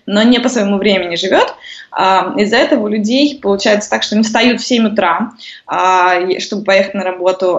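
A woman in her thirties, a guest explaining things in casual conversation, with many hesitations. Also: medium pitch. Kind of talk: conversational interview. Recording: lo-fi muffled audio.

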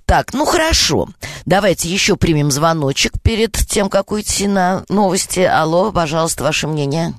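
A woman's voice: lowish voice, lively intonation, normal speed, dry recording, clean studio sound. A woman with a Moscow accent hosting a radio call-in show, hesitant, with many fillers and stuttered starts. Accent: Moscow Russian.

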